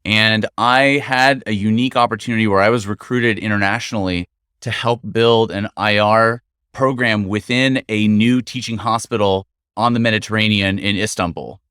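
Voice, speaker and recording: A middle-aged man from the United States speaks as an interview guest, measured and articulate. His voice sits low and resonant. It is recorded close and dry with no room sound. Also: steady tone, pushed and bright, clear, resonant tone, no echo. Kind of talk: conversational interview. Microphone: studio microphone.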